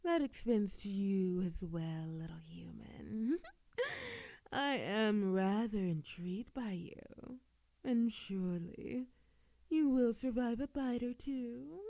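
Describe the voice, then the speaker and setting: Ancient female vampire ruler, played by a woman: mellow, composed, coolly amused, patronizing, for intimate audio roleplay. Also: medium pitch, sing-song, takes it slowly, gravelly, dry recording, heavily acted. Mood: playfully intrigued. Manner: formal, patronizing. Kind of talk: ASMR audio roleplay acting.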